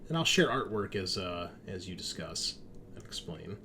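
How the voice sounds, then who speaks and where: A man's voice: low voice, big pitch swings, normal speed, background noise. A man narrating a tabletop roleplaying game as game master, explaining things conversationally and addressing his players directly.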